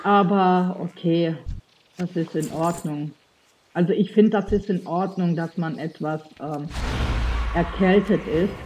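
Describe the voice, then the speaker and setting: A woman's voice: on the low side, unhurried, dull audio, noisy background. A young woman with a slight cold chatting casually to her viewers while playing a game, rambling with lots of fillers. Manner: addresses viewers directly. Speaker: let's play streamer. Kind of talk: casual gameplay commentary.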